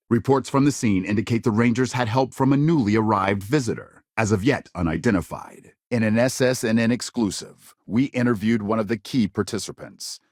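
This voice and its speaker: An actor playing a polished, dramatic news anchor in a video game, with measured, grave and emphatic broadcast phrasing. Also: male voice, medium pitch, no echo, clean studio sound, lightly acted. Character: polished, dramatic male TV news anchor of a space-age network. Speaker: news reporter. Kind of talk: scripted broadcast news delivery.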